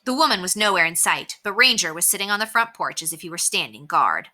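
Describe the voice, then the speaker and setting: A woman teacher reads a children's chapter book aloud to students. Her voice is bright and a little tense, with lively pitch movement, and she sits slightly low for a woman. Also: fast. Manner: expressive storytelling with softer narration.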